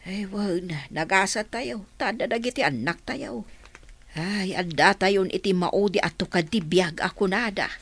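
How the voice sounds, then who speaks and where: A woman's voice: medium pitch, unhurried, slightly rough. An actress playing a resigned, affectionate older woman in a radio drama, with a sighing, plaintive manner.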